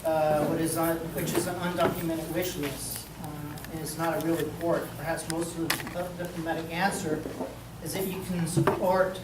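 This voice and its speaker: Flat, halting candidate voice. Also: mid-range voice. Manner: halting, blunt, many fillers. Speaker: council candidate. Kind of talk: forum panel answer. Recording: echoey hall, distant mic, noisy.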